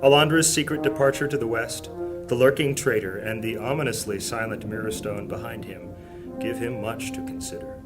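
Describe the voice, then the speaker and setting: A man's voice: medium pitch, fairly even intonation, moderate pace, lightly acted. A man acting as the solemn storyteller of an epic fantasy audio drama, reading scripted dramatic narration. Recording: music bed under the voice. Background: music.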